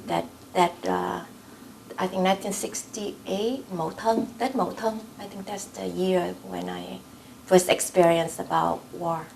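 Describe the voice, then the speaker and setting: A woman's voice: mid-range voice, vocal fry, slight background noise, poor audio. A woman in her late forties from South Vietnam, a native Vietnamese speaker with a Vietnamese accent, recounting personal memories in an interview. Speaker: oral history interviewee.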